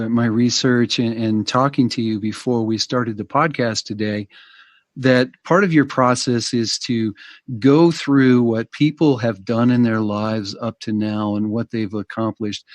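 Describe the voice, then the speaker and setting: A man's voice: medium pitch, dry recording, highs cut off. Upbeat male podcast host. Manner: enthusiastic, upbeat. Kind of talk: casual podcast interview.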